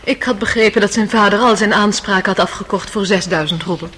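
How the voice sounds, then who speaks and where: An actress playing a proud, dignified young gentlewoman testifying in a radio drama, formal and emotional yet restrained. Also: mid-range voice, fairly quick, breathy edge. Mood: subdued.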